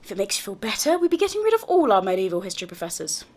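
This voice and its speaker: An actress playing a brisk university vice-chancellor in an audio drama. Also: female voice, mid-range voice, big pitch swings, darkish tone. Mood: dry, dismissive. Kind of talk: scripted audio drama dialogue.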